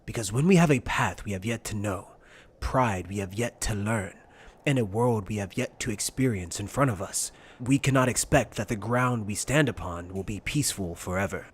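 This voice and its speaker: A man playing a grave, philosophical warrior captain in an anime fan dub, speaking in a measured, formal manner. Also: lowish voice, slightly rough. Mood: solemn, grave.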